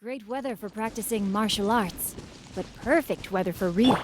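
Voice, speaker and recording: A woman voicing a serene, bookish woman with a calm, gentle manner in a video game. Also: mid-range voice, normal speed, noisy background, natural voice. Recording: game audio with clear music behind.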